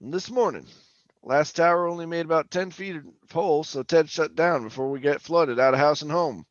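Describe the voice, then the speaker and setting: An actor playing a garrulous middle-aged former oil-rig roughneck, telling his tale in a rambling, folksy radio drama manner. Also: on the high side, normal speed, no room sound, highs cut off, lightly acted. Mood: friendly, easygoing. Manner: rambling, folksy, colloquial storytelling. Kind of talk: old-time radio drama monologue and dialogue.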